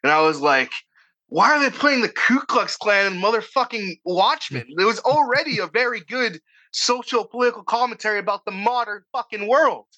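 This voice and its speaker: Bright animated man with a Boston accent. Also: high-pitched, moderate pace, low-quality recording. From Boston area, United States. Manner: profane, animated, rambling with restarts. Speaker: author guest. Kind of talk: casual podcast conversation.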